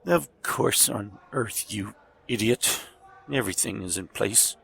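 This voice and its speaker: An actor playing a smug, fanatical villainous doctor in an audio drama, with a gravelly, husky voice and a slow, pompous, menacing delivery. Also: male voice, fairly low pitch, fairly bright tone, a little hiss or hum. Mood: gloating, fanatical. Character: fanatical villainous male doctor, smug devoted servant of a demon.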